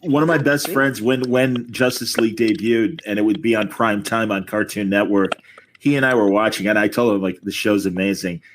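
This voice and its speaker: A man's voice: lowish voice, fairly even intonation, a little fast, a little hiss or hum. A middle-aged man hosting a casual fan chat over a video call, talking with rambling enthusiasm. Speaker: host.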